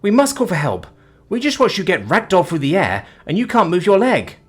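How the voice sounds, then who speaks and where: A man's voice: on the high side, huge pitch swings, quick pace, fairly bright tone, faint music behind, pitched above the performer's own voice. A man voicing a young woman who talks in an urgent, pressing tone. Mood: alarmed. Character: young woman companion, earnest and alarmed.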